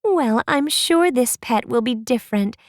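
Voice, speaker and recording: A woman's voice: a little high, animated, measured pace, no echo, studio quality, pitched above the performer's own voice. A woman voicing a bubbly, eager teenage princess girl in a storybook read-aloud, gushing and upbeat.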